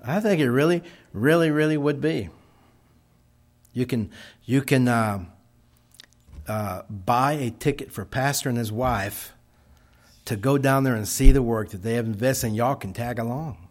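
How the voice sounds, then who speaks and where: Middle-aged Texan man, unhurried missionary report to a congregation, plain homespun delivery. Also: mid-range voice. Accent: Texas. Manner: slow, folksy, halting with many restarts.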